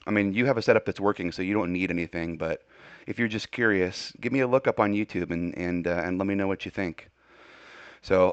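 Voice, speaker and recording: A man with a fairly low, smooth voice talks casually over amateur radio. He is informal and enthusiastic, like someone sharing a favorite hobby with a friend. The sound is close and slightly muffled. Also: no room sound. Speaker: ham radio operator, video channel host.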